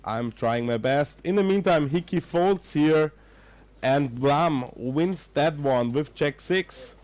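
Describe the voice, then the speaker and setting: Slow-talking Austrian poker commentator. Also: male voice, fairly high pitch, a little mellow. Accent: Austrian German. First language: German. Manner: chatty, greets viewers, searches for English words. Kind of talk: live poker commentary with chat.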